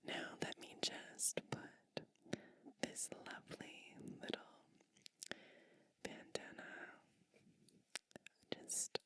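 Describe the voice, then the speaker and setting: A woman acts out a kindly witch in a bedtime ASMR roleplay. She speaks entirely in a crisp, bright whisper, very slowly and deliberately. Her manner is tender and soothing, as if tending to someone before sleep. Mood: gentle, soothing. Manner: soft, soothing, slow and deliberate. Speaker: ASMR performer.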